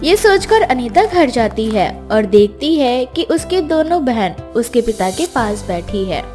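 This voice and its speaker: A woman's voice: a little high, big pitch swings, a little slow, darkish tone. A woman narrating a scripted children's fairy tale as storyteller. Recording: music behind the voice.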